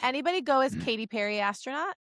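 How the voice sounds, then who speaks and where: A woman's voice: sits high, animated, quick pace, a bit bright, dry recording, studio quality. A woman co-host making quips in a casual podcast chat.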